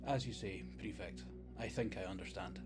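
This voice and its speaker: A man's voice: low-pitched, normal speed, lightly acted. A man playing a polite, troubled scribe in a sci-fi audio drama, speaking formally with hesitant deference. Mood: deferential. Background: prominent music.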